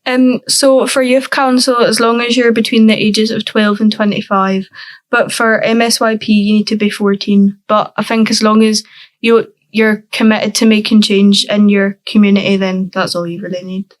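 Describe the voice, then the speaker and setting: A teenage girl answering questions with a hesitant, um-filled delivery. Her voice is mid-pitched and kept fairly flat. The recording is dry and close, with no room sound. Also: normal speed, no echo. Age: teens. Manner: hesitant, many ums. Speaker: youth councillor guest. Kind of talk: casual interview answers.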